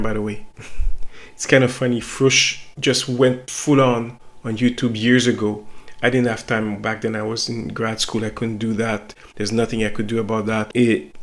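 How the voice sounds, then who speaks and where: A middle-aged French Canadian man, a native French speaker, talking casually and reflectively to his viewers about his review channel, with a self-conscious, rambling manner. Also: on the low side. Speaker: toy reviewer and site owner. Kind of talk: casual talk to camera.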